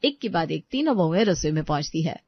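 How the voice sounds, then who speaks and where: A woman's voice: low voice, very animated, quick pace, muffled recording. A woman narrating a scripted story as a storyteller.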